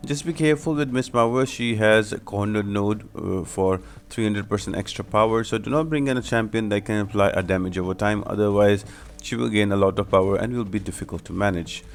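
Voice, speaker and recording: A man's voice: on the low side, brisk. A man narrating a video game guide, explaining tactics step by step in an instructional way. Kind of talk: gameplay walkthrough commentary. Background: background music.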